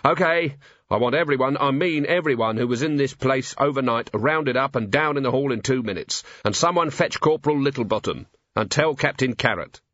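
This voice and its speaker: Man acting a gruff, cynical police commander. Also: mid-range voice, brisk, fairly bright tone, no room sound, highs cut off, lightly acted. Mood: brisk, commanding. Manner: blunt, grumbling, commanding. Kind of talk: audiobook character voice.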